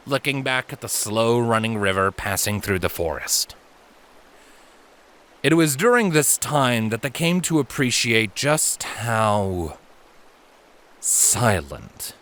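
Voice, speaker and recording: Bright, low-voiced man narrating dramatically. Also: slight background noise. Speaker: audiobook narrator. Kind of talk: dramatic scripted story narration.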